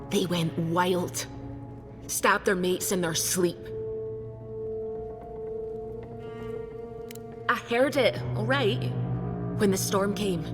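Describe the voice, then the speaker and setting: A woman playing a defiant, sardonic smuggler held prisoner in a video game, talking bluntly and scornfully. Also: lowish voice. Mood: grim. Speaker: game character. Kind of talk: video game dialogue acting. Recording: orchestral game music behind the voice. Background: loud music.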